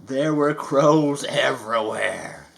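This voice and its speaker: A man's voice: medium pitch, slow pace, vocal fry. A man reading a children's storybook aloud, folksy and playful, with chatty asides. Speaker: storybook reader.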